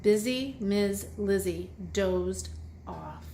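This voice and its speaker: A woman's voice: mid-range voice, extremely slow, background noise. A woman reading a children's story aloud expressively, with asides and questions to young listeners. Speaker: children's story reader and host.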